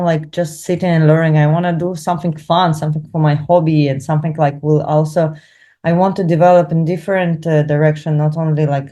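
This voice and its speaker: A young woman talks in English, which is not her first language, pausing often and hunting for words. Her voice sits low and sounds mellow and relaxed. It is an unscripted interview, told with plenty of fillers and restarts. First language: not English, not Hebrew. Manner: hesitant, searching for words, many fillers. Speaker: Hebrew learner interviewed about her course. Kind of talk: casual testimonial interview.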